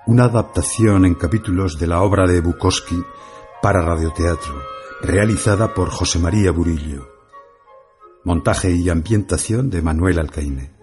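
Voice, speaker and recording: A man's voice: sits low, darkish tone. A man reading a scripted introduction and credits as a radio drama announcer.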